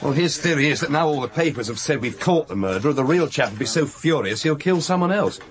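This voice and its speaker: An actor playing a keen, deferential young police detective in a radio drama. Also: male voice, a little high, lively intonation, quick pace, natural voice. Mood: keen, earnest. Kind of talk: British radio drama acting.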